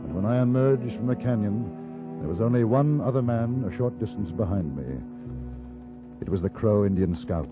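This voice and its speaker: Actor as composed low-voiced frontier gentleman, old radio. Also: animated, close to an everyday voice. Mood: grave, reflective. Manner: measured, understated, polite. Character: composed, courteous frontier gentleman narrating his adventures. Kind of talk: 1950s radio western acting with first-person narration. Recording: muffled old-time radio recording with music.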